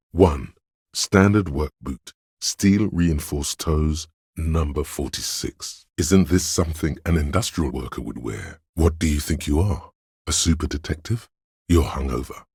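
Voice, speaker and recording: A man voicing a detective's analytical inner voice in a video game, grave and coolly exacting, with deliberate, clinical narration and dry judgment. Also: a little mellow, husky, no room sound, full character voice. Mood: clinical, focused, then dry, scornful. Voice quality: very deep, resonant bass. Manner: deliberate, clinical, measured, dryly judging. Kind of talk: dramatic second-person game narration.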